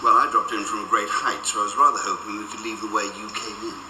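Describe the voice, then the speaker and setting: An actor playing a polite, genteel senior official who is secretly a calculating villain, in a radio drama. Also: male voice, on the low side, fairly even intonation, quick pace, recorded from a distance, natural voice. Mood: grave, courteous. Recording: echoey, clearly noisy radio drama scene.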